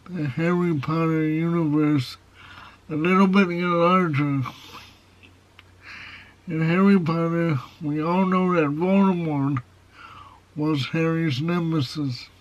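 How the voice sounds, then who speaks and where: A man with a nasal, foghorn-like voice reviewing a movie in a casual video. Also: a little high. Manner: slow, measured delivery with plain opinions. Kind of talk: casual film review to camera. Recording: dull, lo-fi sound.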